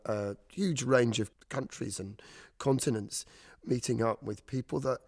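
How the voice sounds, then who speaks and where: A man who works as a theatre director, talking reflectively and giving gentle explanations about the work. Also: medium pitch, creaky. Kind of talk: interview talk and rehearsal direction.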